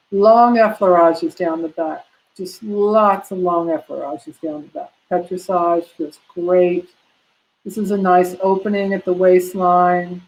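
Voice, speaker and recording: Casting: lower-voiced woman instructor, casual and rambling, webcam class feel. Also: darkish tone, poor audio. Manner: chatty, many fillers, talks to herself while clicking slides. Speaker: prenatal massage instructor. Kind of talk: live online class, casual teaching.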